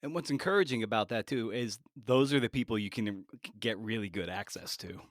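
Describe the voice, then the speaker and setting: A man interviewing as a podcast host in a casual, halting way, rambling as he thinks aloud. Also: fairly low pitch, no room sound, studio quality.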